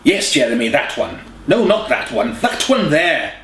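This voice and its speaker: A man's voice: on the high side, sing-song, moderate pace, a bit bright, voice pushed up. A man voicing a drawn, peevish old man in an audiobook. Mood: peeved. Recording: echoey room, distant mic, faint hiss.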